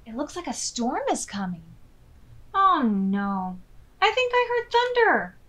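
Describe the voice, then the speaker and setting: A woman's voice: high voice, sing-song, slow, a bit bright, pitched above the performer's own voice, heavily acted. A woman voicing a fretful, bossy young male storybook character in an emphatic, dramatic way. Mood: fretful, gloomy.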